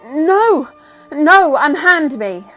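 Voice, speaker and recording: A woman's voice: sits high, sing-song, extremely slow, a bit bright, soft background music, full character voice. A woman playing a frightened, indignant young heiress in an old-time radio melodrama, theatrical and pleading. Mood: alarmed, indignant.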